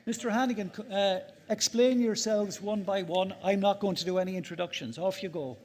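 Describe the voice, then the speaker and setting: A man's voice: high voice, fairly quick, a little mellow. An Irish man moderating a conference panel on stage, jovial and teasing, often repeating words as he thinks.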